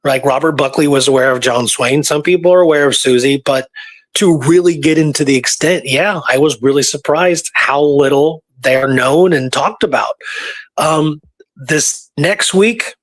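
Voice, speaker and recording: A man co-hosting a casual podcast, talking in an enthusiastic, emphatic storytelling manner. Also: on the high side. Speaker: podcast co-host.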